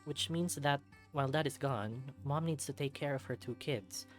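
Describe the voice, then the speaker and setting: Male let's-play narrator, mid-pitched, storybook read-aloud delivery, light music bed underneath. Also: moderate pace. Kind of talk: dramatic read-aloud storytelling with casual commentary.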